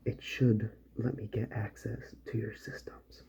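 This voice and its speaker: Dark, soft-spoken ASMR man. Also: lowish voice, little pitch movement. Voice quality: soft, breathy, partly whispered. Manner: slow, gentle, unhurried. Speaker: ASMR performer playing a robot repair doctor.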